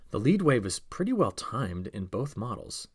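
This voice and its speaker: A man's voice: mid-range voice, sing-song, moderate pace, husky. A man giving a conversational, explanatory weather briefing as the forecaster, talking viewers through maps and checking in with short questions and okays. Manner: instructional, checks in with okay, all right and rhetorical questions.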